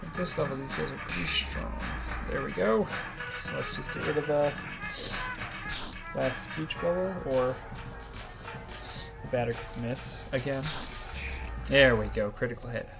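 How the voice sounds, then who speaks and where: A young man with a starting cold, a bit sniffly, chatting easygoingly as he hosts a game playthrough from a small quiet closet. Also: medium pitch, sing-song, unhurried, vocal fry, long pauses. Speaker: let's play host. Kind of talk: casual gameplay commentary. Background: faint swing game music.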